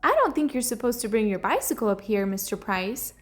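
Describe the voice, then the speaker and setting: A woman's voice: a little high, very animated, fairly quick, faint music behind. A woman reading aloud, voicing a polite, earnest young male bear in a storybook.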